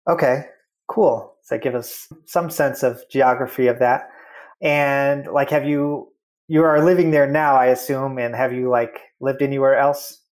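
Guy hosting an interview, friendly, chatty, lots of likes and okays, middle pitch. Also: steady tone, unhurried. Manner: friendly, chatty, full of fillers and follow-up questions. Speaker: interview host. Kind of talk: casual interview.

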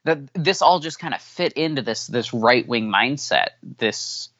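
A man whose first language is not English answering interview questions candidly, halting with many fillers and restarts. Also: medium pitch, animated, fairly bright tone, no room sound. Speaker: podcast guest. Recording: dull lo-fi audio.

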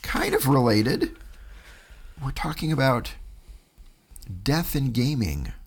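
A man co-hosting a podcast in casual chat, agreeable and quick to affirm, thanking listeners. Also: mid-range voice, animated, creaky, breathy edge. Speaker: podcast co-host.

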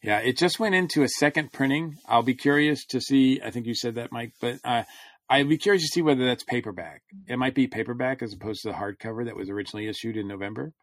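A man's voice: medium pitch, quick pace, no room sound, studio quality. A man on a podcast panel talking through a casual review, rambling a little as he thinks aloud.

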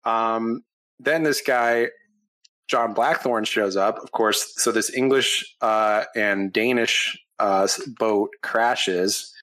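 A man's voice: on the low side, slow, sharp tone, low-quality recording. A man in casual podcast chat, explaining at length with plenty of ums and uhs. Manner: explains history at length with many fillers. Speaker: podcast co-host.

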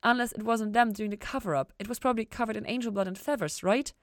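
Woman acting young female character, bubbly, curious, kind, casual, teasing, expressive, raised pitch, audiobook dialogue. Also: fast talker, no room sound, studio quality, natural voice. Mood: curious, frank. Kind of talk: acted fan fiction audiobook dialogue.

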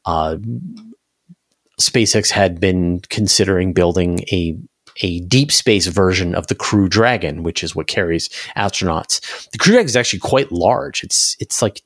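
A man's voice: low voice, a bit bright, no room sound, studio quality. A man talking conversationally as an interview guest, explaining things at length and restarting phrases as he reasons aloud. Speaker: interview guest, space news commentator.

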